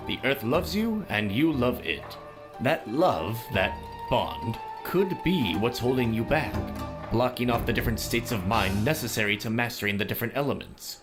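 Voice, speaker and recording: An actor playing a calm, shrewd older male mentor in a visual novel, speaking in a measured, formal way. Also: mid-range voice, natural voice. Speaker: teacher. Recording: music behind the voice.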